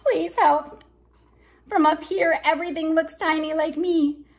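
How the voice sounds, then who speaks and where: A tiny young T-rex from a storybook, read aloud by a woman. The voice is high, earnest and determined. Each simple sentence is set out with care. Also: steady tone. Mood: alarmed. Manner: simple, careful, deliberate storybook phrasing.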